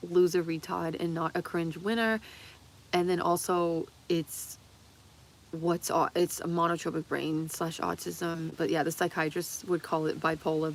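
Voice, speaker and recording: A woman in her thirties with a slightly low voice that sounds a bit worn from talking. She rambles in an excitable, giggly way and swears freely. The delivery is casual and confessional, like an unscripted livestream. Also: slight background noise, poor audio. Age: 30s. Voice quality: voice strained and tiring from long talking.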